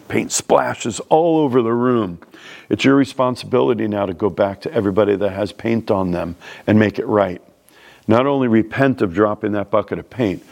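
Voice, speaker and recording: A man's voice: fairly low pitch, vocal fry, darkish tone. A man from New York City with a New York accent, preaching a sermon in a plain-spoken, conversational way. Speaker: pastor.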